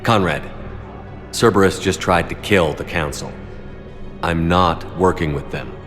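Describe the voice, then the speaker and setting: A man's voice: low voice, unhurried, fairly bright tone, faint music behind. A man playing a stern, authoritative space military commander in a video game, terse and dry in his delivery.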